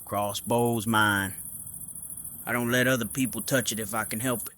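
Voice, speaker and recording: A man playing a gruff but gentle, reserved crossbow hunter in an audio drama, speaking tersely. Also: steady tone, normal speed, slight background noise. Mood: guarded, kindly. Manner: terse, low-key. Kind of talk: fan audio drama acting.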